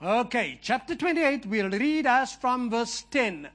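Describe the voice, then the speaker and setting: A man's voice: very high voice, animated, unhurried, fairly bright tone. A man whose first language is Afrikaans, preaching and praying fervently in a church, with chatty asides to the people there. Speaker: visiting preacher. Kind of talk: sermon and prayer.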